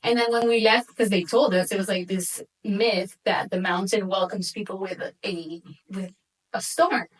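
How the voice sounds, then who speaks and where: A young woman from Venezuela who moved to the United States as a child, telling stories casually as an interview guest, with animated informal talk and Spanish words dropped in. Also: medium pitch, moderate pace, slightly rough, recorded from a distance, poor audio. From Venezuela, moved to the United States at eight. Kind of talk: casual conversational storytelling.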